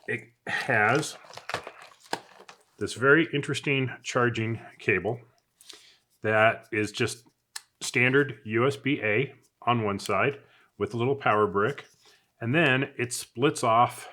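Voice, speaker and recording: A man with a medium-pitched voice talks through a product review. He is casual and unscripted, chatting as he goes and thinking out loud in a conversational, everyday way. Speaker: product reviewer, channel host.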